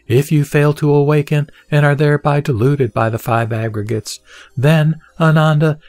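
A man reading the words of the Buddha as a calm, wise teacher, in a measured and solemn scripted recitation. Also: medium pitch, dry recording.

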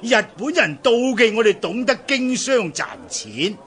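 Bold hearty merchant chief, high bright voice, slow declamatory delivery, Hong Kong Cantonese accent, historical radio play. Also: male voice, big pitch swings, full character voice. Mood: grave, defiant. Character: bold, ambitious eldest sworn brother, merchant leader.

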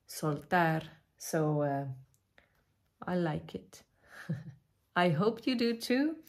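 A woman in her thirties speaks English with a Flemish accent, as a native Dutch speaker. Her voice sits a little low and her pace is very slow. She chats informally to the camera indoors, pausing hesitantly as she searches for words. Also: a little mellow. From Belgium. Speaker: vlogger showing her artwork. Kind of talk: casual chat to camera. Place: living room, indoors.

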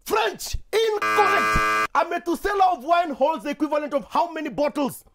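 A lively Kenyan man hosts a quiz show from a TV studio. His pitch leaps around as he jokes, laughs and fires off questions, switching between English and Swahili slang. A clean lapel-mic sound. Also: moderate pace, loud music behind the voice. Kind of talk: lively game show hosting. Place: indoor TV studio.

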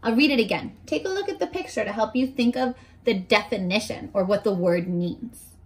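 A woman in her twenties reading a picture book aloud to young children as a teacher, expressively, pausing to talk to her listeners. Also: a little high, lively intonation, a little mellow. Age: 20s. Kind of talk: children's read-aloud with simple questions to listeners. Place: small furnished bedroom, indoors.

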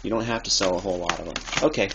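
A man giving a casual, rambling how-to talk in a halting, improvised way, recorded on a handheld phone indoors. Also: low-pitched. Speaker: video maker, thrift reseller. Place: cluttered dim room indoors. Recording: noisy amateur phone recording.

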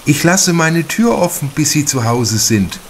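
A man puts on a solicitous housewife in a crime audiobook. The voice is raised in pitch, polite and full of urging concern, over faint background noise. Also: expressive, a little slow. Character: worried housewife, solicitous mother of a pupil.

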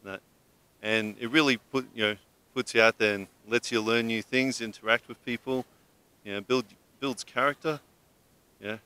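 A young man answering interview questions outdoors into a handheld mic, halting and saying "you know" often. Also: mid-range voice, steady tone, pushed and bright, clean tone. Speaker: interviewee, veteran larp player. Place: outdoors at a convention.

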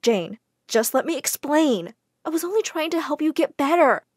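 A woman puts on a stern older widow with a fairly high, clean voice. She scolds firmly and stays strict. The sound is dry and close. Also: animated, normal speed, no echo. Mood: pleading.

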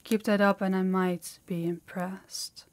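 A woman's voice: mid-range voice, level tone, unhurried, lightly acted. A woman playing a harsh, dominant demon in an intimate audio roleplay, commanding and menacing, often dropping toward a whisper. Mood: stern, approving. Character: harsh, dominant female demon training a human captive.

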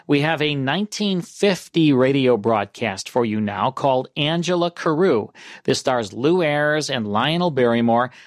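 A man's voice: mid-range voice, expressive, unhurried, no echo, studio quality. A man hosting a radio show, giving a scripted introduction.

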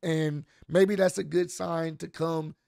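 Hoarse man with a gravelly voice. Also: fairly high pitch, slow pace, no echo, studio quality. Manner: animated, talks with his hands, loose slangy delivery. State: hoarse, voice mostly gone. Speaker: wrestling show vlogger. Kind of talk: casual recap commentary. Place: small home studio. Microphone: large studio mic on boom arm. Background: soft instrumental music.

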